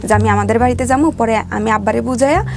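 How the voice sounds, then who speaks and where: High-pitched Bangladeshi Bengali woman storyteller. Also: fast, gravelly, noisy background. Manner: colloquial, acts out reported dialogue. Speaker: guest telling her life story. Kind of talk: personal storytelling in an interview.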